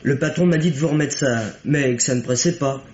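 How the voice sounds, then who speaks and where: A man's voice: medium pitch, fairly quick, darkish tone, natural voice. An actor playing a respectful, apologetic young garage errand boy in a radio mystery. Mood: deferential. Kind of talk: old French radio mystery play acting. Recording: muffled, echoey, noisy old radio recording.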